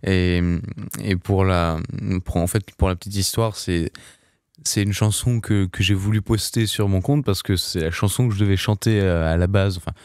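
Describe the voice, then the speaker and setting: A man's voice: low-pitched, fairly even intonation, normal speed, darkish tone, clear, resonant tone, no echo, clean studio sound. A young man, a singer, answering in a casual radio interview, hesitant with many restarts and fillers. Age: young adult.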